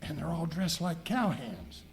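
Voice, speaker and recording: A man's voice: fairly high pitch, big pitch swings, a little slow, slight background noise. A man playing a passenger and narrator in an amateur radio skit. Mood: puzzled. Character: puzzled male cruise passenger and radio show narrator.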